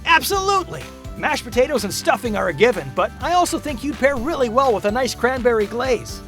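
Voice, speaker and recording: A woman's voice: a little high, animated, brisk, over music, lightly acted. A woman playing a cheery, salesy makeover-show host.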